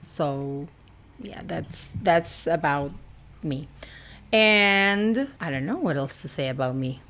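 A woman's voice: sits low, expressive, slow pace, fairly bright tone. A young woman from Argentina with an Argentine accent, chatting playfully as a podcast guest and laughing often. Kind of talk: casual chat.

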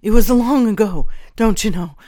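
A woman's voice: fairly low pitch, takes it slowly, no echo. A woman voicing a craven old male traitor in an audiobook, whining and pleading frantically in a weak voice. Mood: desperate pleading. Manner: frantic pleading with repeated phrases.

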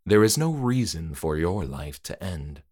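A man voicing a soft, eerily polite trick-or-treater. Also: low-pitched, voice pushed down, full character voice. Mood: coldly polite. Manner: calm, soft, measured and formal.

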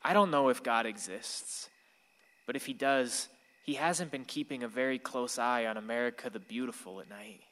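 Guy acting a wistful bitter son in a radio play, forceful mid voice, long echo. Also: medium pitch, moderate pace, lightly acted. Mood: brooding. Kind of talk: dramatic monologue and radio drama dialogue. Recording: long hall reverb.

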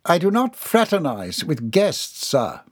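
A man voicing a disdainful butler with measured, formal speech in an audiobook. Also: on the high side, wildly swooping pitch, darkish tone, breathy edge, dry recording, pitched above the performer's own voice, exaggerated voice.

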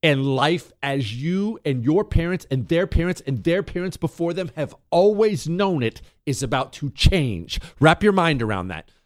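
Animated American man, emphatic radio monologue. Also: on the high side, dry recording, studio quality. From United States. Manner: emphatic, dramatic, slowing down on key words; rhetorical questions and repetition. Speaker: political talk radio host.

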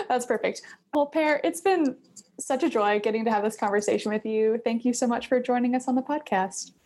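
A woman's voice: fairly high pitch, animated, normal speed, a little mellow. A woman hosting a business podcast, bubbly and laughing often between questions. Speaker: podcast host. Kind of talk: podcast interview.

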